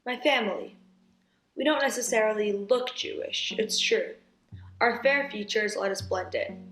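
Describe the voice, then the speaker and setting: A woman plays a young wartime mother, anxious yet resolute, speaking in theatrical monologue and dialogue. Her medium-pitched voice sounds roomy, with music playing underneath. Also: unhurried, a bit bright, close to an everyday voice.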